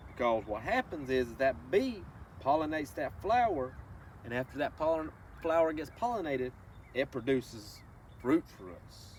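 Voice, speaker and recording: Male children's read-along presenter, unhurried and very animated, folksy direct address, recorded a little far from the mic. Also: on the high side. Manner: instructive, addresses listeners directly with folksy asides and questions. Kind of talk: reading a picture book aloud with asides to children. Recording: distant microphone with faint background noise.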